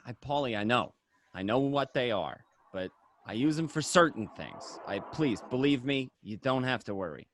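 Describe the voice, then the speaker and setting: A man's voice: medium pitch, expressive, moderate pace, a little hiss or hum, lightly acted. A man playing a defensive, hustling gangster in an amateur radio drama read, insistent and stammering over repeated words. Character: defensive, hustling young male gangster, eager to reassure.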